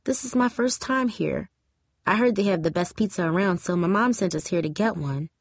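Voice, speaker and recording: A woman voicing a nervous but determined teenage girl in a story, soft-spoken. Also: medium pitch, animated, normal speed, no room sound, clean studio sound.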